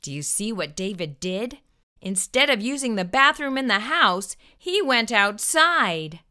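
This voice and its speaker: A woman's voice: fairly high pitch, sing-song, unhurried, pushed and bright, clean tone. A middle-aged woman hosting a children's storytime, reading aloud expressively with exaggerated emphasis and addressing the young listeners directly. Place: small furnished room indoors. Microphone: lapel microphone.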